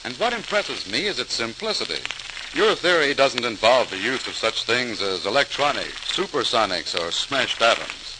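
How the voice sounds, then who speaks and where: A man plays a questioning official in an old radio docudrama, formal and measured in wording. His mid-range voice carries varied, expressive inflection beneath clear hiss and crackle. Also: mid-range voice, lightly acted. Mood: attentive, impressed. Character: measured, questioning male official weighing a new theory. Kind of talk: 1940s-style radio docudrama acting. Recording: noisy, hissing old-time radio recording.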